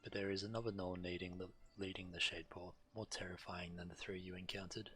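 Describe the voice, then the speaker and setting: A man's voice: deep voice, flat delivery, fairly quick, a bit bright. A man streaming a game, casually commenting and reading quest text aloud.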